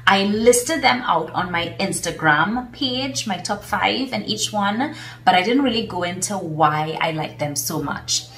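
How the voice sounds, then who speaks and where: A woman's voice: medium pitch, expressive, measured pace, a bit bright, a little hiss or hum. Trinidadian woman, chatty, in an echoey room. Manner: enthusiastic, chatty, many fillers. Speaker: vlogger and business coach. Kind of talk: casual talk to camera. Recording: roomy echo, mic at a distance.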